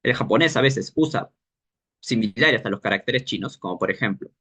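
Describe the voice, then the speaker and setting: A man teaching an informal language workshop, explaining as he goes and pausing to search for words. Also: medium pitch, lively intonation, fairly bright tone, slightly rough.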